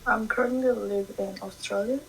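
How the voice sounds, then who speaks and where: A young woman who is a student, chatting casually and answering quietly in short phrases. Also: fairly high pitch, fairly even intonation, moderate pace, vocal fry, slightly rough, poor audio. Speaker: student in a chat. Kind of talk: casual chat.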